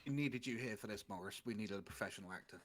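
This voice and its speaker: A man's voice: fairly low pitch, fast talker, fairly bright tone. A man reading fan fiction aloud in a comic way, with casual banter in between.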